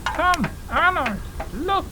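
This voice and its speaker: A woman playing an excited, awestruck archaeologist in an audio drama, with dramatic delivery. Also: very high-pitched, takes it slowly, very noisy, exaggerated voice. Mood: excited awe. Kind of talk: amateur audio drama acting. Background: loud music.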